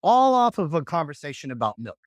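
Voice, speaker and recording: A man's voice: fairly high pitch, big pitch swings, a little fast, no echo. A man coaching on dating in a podcast interview, talking casually and often checking in with "right?".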